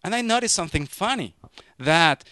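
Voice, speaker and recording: Bright-voiced Mexican man giving a tech talk. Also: high-pitched, normal speed, clear, resonant tone, no room sound, studio quality. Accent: Mexican Spanish. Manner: lively, joking, frequent restarts. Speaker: conference speaker. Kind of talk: technical conference talk. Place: conference room with audience. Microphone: handheld microphone.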